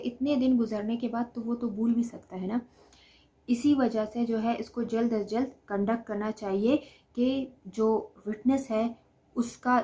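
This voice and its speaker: Higher-pitched Pakistani woman giving a lesson. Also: a little mellow. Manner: didactic, repeats points and asks rhetorical questions. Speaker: law lecturer. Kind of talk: explanatory study lecture, reading out notes and explaining them.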